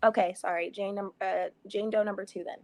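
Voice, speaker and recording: A woman's voice: mid-range voice, steady tone, a little fast, a little mellow, no room sound. A woman calling in to a live panel, chatting casually and explaining her point at length. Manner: chatty, explains at length, sprinkles in quoted thoughts. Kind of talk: casual live-stream chat.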